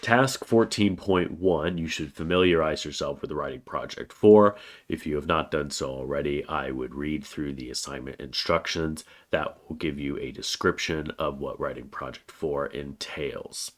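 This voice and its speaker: A man with an extremely low, deep voice talks through a weekly course overview like a college writing teacher. He has a cold, so his voice sounds a little congested. His delivery is plain and steady, with frequent "uh" fillers between phrases. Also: normal speed. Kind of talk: recorded course overview lecture.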